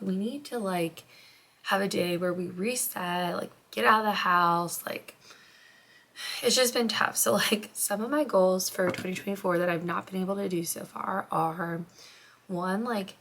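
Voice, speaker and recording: Chatty young mom, a bit hoarse. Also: female voice, on the low side, a bit bright. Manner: chatty, rambling, heavy use of "like". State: slightly hoarse, congested after illness. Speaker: vlogger, stay-at-home mom. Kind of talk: casual chat to camera. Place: home, getting ready.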